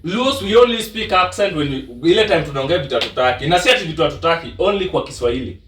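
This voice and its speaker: Kenyan guy joking around on a podcast, high voice bouncing all over, roomy echo. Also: racing, recorded from a distance, a little hiss or hum. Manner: animated, laughing, teasing. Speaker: podcast host. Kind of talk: casual banter switching between Swahili and English. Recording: echoey room, away from the mic.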